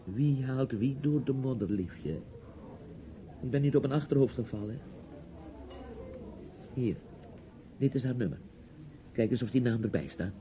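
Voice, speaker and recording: A man's voice: medium pitch, a little slow, pauses often, close to an everyday voice. An actor playing a chatty, nosy, bossy older man in a radio drama, with a theatrical, rambling delivery. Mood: bossy, needling. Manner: theatrical, rambling, commanding, with hesitations. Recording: dull, hissy old radio play recording.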